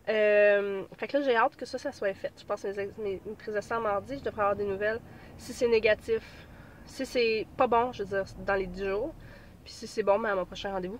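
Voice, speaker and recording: A woman in her thirties with a Québécois accent, chatting casually to camera from her car while she has a cold, rambling with many fillers. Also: a little high. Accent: Québécois French. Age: 30s. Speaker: pregnancy vlogger. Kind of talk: casual vlog chat.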